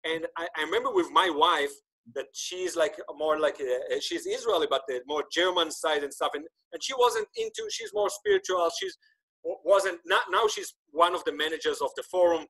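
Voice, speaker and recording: A man in his thirties, native Hebrew speaker, hosting a casual podcast chat over a webcam. His voice sits a little high for a man, and he talks in an animated way, often stumbling and restarting his sentences. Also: moderate pace, husky, low-quality recording. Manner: animated, gesturing, many restarts. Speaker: podcast host. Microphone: video call webcam.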